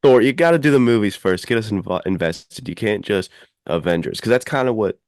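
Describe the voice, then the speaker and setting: A man hosting a podcast, discussing films casually and in an animated way. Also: on the low side, a little fast, no echo, clean studio sound. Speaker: podcast host.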